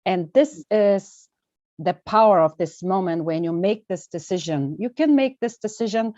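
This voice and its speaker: Bulgarian-accented woman, earnest and deliberate. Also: medium pitch, mellow, no echo, highs cut off. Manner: earnest, deliberate, with emphatic pauses. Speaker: guest, well-being coach. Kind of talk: interview conversation.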